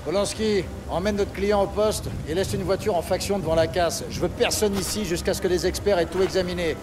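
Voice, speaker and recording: An actor playing a brusque, commanding police officer giving orders in a gritty audio drama. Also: male voice, high-pitched, fairly even intonation, a little fast, distant mic, background noise, natural voice. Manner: brusque, authoritative. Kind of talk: French audio drama acting.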